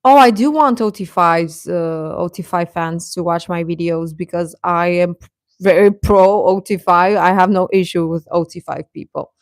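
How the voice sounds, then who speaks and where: Low-voiced woman chatting on a livestream. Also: no room sound. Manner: rambling, halting, sarcastic. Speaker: live streamer and reaction channel host. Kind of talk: casual live-stream chat about pop fandom.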